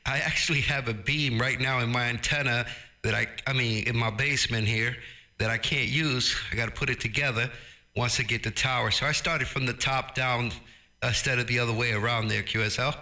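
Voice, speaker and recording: A man chats casually as an amateur radio operator. His voice is extremely bright and crisp-edged, at an average pitch, through a close processed studio microphone. He is informal and agreeable, dropping in radio jargon. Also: fairly even intonation, brisk. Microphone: close studio dynamic microphone with audio processing.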